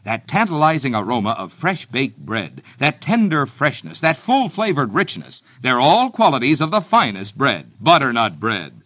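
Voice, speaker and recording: A man's voice: very animated, normal speed, few pauses, a bit bright, no room sound. A man with an American accent reading a scripted, folksy vintage radio advertisement aimed at children, as a peppy announcer. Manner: peppy, folksy sales pitch. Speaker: commercial announcer.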